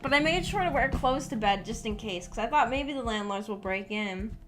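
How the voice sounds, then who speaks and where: High-voiced woman chatting on a livestream. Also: expressive, brisk. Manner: rambling, giggly, self-conscious. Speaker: livestream host. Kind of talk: casual chat with stream viewers. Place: at home in her room. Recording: music playing behind the voice. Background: background music.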